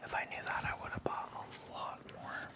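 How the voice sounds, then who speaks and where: A man in his thirties whispering casual, enthusiastic fan chat for ASMR, close to the mic in a room at home. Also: moderate pace. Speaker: ASMR video creator showing a collection. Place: small living room indoors.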